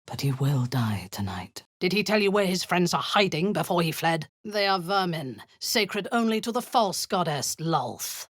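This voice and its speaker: An actress voicing a ruthless drow woman commander who leads zealous raids, speaking in a cold, commanding voice with a husky edge that often sinks into a whisper. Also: on the low side, sing-song, moderate pace, hardly any pauses, no room sound, studio quality. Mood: menacing. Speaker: game character. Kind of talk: video game voice lines.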